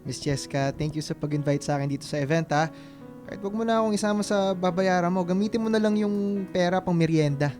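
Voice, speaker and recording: An actor playing a gentle, friendly young pediatrician with a Filipino accent in a radio drama. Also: male voice, high voice, brisk, faint music behind, natural voice. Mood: polite, earnest.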